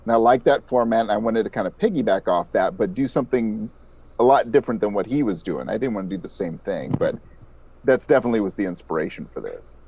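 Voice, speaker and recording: A man's voice: on the low side, lively intonation, fast, creaky, darkish tone, slight background noise. A man speaking as an interview guest, explaining things modestly in a conversational way. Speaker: interview guest, audio drama podcast creator.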